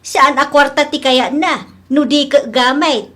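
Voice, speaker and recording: A woman's voice: high voice, steady tone, a little slow, a bit bright. A woman playing a fussy, exasperated village mother in an Ilocano comedy drama, with an Ilocano Filipino accent. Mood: surprised, indignant. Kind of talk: Ilocano comedy radio-style drama acting.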